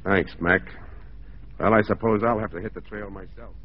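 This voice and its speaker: Man as a low-voiced, firm police officer, old radio. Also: fairly even intonation, normal speed, lightly acted. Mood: stern, resolute. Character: proud, upright mounted police officer, plain-spoken. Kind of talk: 1940s radio drama acting. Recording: muffled, noisy 1940s radio recording.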